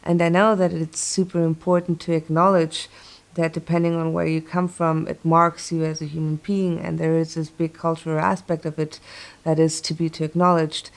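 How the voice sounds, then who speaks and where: A woman from Chile, raised across Europe, speaks as an artist being interviewed, earnest and thoughtful, pausing mid-sentence. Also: fairly low pitch, gravelly, darkish tone. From born in Chile, grew up in Italy, England and Germany. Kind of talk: reflective interview talk.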